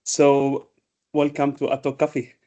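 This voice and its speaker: A man hosting an interview about education, speaking in a measured way with frequent fillers and small restarts. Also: medium pitch, monotone, dark tone, highs cut off. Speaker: host.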